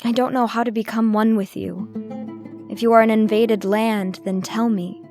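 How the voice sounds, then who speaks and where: A woman's voice: on the high side, steady tone, unhurried, vocal fry, a little mellow, faint music behind. A woman performer giving a lyrical spoken-word poetry recitation.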